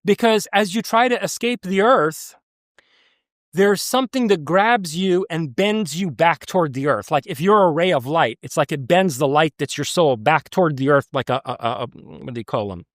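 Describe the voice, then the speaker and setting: A man's voice: fairly high pitch, animated, moderate pace, no echo, studio quality. A man from the United States speaking as an interview guest, earnest and emphatic, with frequent restarts. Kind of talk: conversational interview.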